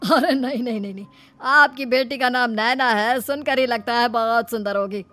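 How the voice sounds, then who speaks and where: A woman's voice: on the high side, normal speed, a bit bright, soft background music, lightly acted. A woman voicing a greedy, ingratiating father of a groom, fussy and persuasive, in a moral story.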